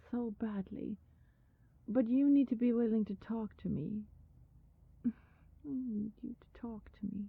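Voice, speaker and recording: A woman playing a lovelorn, anxious vampire neighbor in an ASMR roleplay, pleading haltingly and often slipping into breathy whispers. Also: on the high side, no room sound, narrow, tinny audio. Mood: apologetic, pleading.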